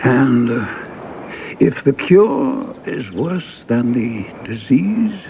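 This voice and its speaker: An actor playing a skeptical senior statesman in a radio play, dry and deliberate, hesitating as he cautions. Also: medium pitch, sing-song, noisy background, full character voice. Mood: wry, defiant.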